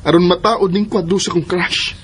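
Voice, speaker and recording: An actor playing an eager, dreamy, lovestruck young son in a Philippine radio drama. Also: male voice, high-pitched, steady tone, brisk, a crowd in the background, close to an everyday voice.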